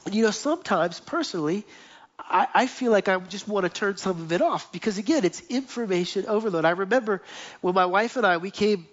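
A man's voice: high voice, expressive, brisk. A man preaching a sermon to a gathered church, earnest and building his points with lists and repeated phrases. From United States. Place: church meeting, live streamed. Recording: dull lo-fi sound.

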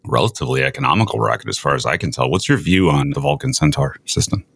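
A man hosting a conversational interview, phrasing his points in a measured, somewhat formal way. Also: rumbling low, big pitch swings, creaky, a bit bright, slightly rough, dry recording, clean studio sound. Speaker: interview show host.

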